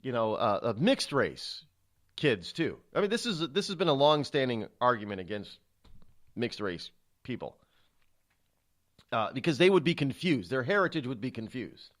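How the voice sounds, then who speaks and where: A man's voice: mid-range voice, sing-song, normal speed. A middle-aged man hosting a talk radio show, delivering an indignant, rhetorical monologue with frequent stumbles and fillers.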